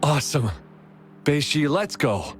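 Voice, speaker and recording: Caring young man with expressive delivery. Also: on the high side, unhurried, faint music behind. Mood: upbeat. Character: apologetic, caring young man, gentle and proud. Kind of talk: English video game dub acting.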